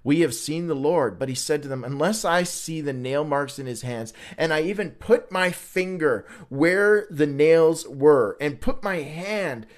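Higher-voiced man teaching a Bible study. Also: measured pace, few pauses. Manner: conversational, rhetorical questions answered by himself. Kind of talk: informal Bible read-along teaching with commentary.

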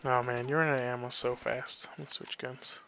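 A man's voice: mid-range voice, level tone, fairly quick, gravelly, sharp tone, a little hiss or hum. A man giving casual, sarcastic video game commentary, grumbling and swearing freely. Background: game sound effects and ambience.

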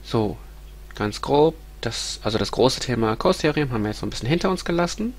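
A man's voice: mid-range voice, lively intonation, fairly quick. A man in his thirties with a stuffy nose from a cold, giving a recorded university lecture over slides, hesitant with many ums and restarts. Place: small room at home.

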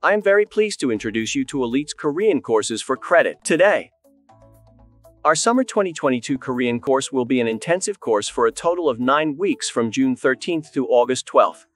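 A man's voice: mid-range voice, normal speed, no echo, faint music behind. Polished man presenting to camera. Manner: polished, even delivery to camera. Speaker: school presenter. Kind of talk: scripted promotional presentation. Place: studio, voice-over on graphics.

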